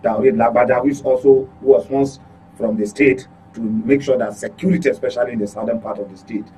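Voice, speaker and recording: A man's voice: mid-range voice, steady tone, brisk, mellow, slight background noise, rough recording. A Nigerian man giving unscripted political commentary as a studio guest, repeating words for emphasis. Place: radio studio.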